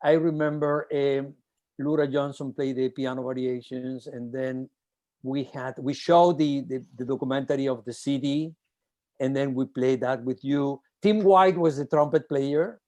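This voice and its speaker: A man's voice: medium pitch, fairly even intonation, unhurried, darkish tone. A man hosting an interview, effusive and rambling, with many restarts. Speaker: ensemble director and host. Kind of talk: enthusiastic interview chat.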